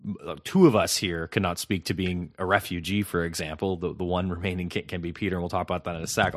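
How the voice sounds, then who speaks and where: Deep-voiced podcast host, halting. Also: male voice, fairly bright tone, no echo, studio quality. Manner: halting, many fillers and restarts. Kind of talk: interview questions.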